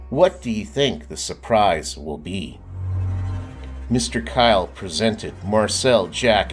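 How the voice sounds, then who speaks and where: A man reading a children's storybook aloud in a lively way, often stopping to ask the listener questions. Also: on the low side. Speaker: storybook reader. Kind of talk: children's book read-aloud.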